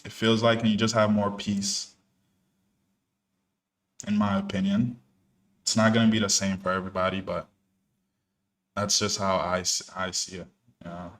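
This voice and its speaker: A man's voice: lowish voice, little pitch movement, normal speed, long pauses, a bit bright. A young man born in New Jersey, commenting casually on a live trading stream in a laid-back, slangy way with many fillers. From born in New Jersey, parents from Ghana. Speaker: day trader streaming live.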